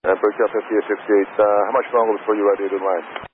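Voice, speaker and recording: Low-voiced male controller on tinny radio. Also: flat delivery, quick pace. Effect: aviation radio. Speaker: air traffic controller. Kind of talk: live radio vectoring instructions. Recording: narrow-band air traffic radio, faint noise.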